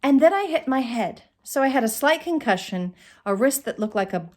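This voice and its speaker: A middle-aged woman telling a personal comic story to camera in a theatrical, expressive way with dramatic pauses. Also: high voice. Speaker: storyteller, channel host. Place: indoors, small curtained room. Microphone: phone at arm's length.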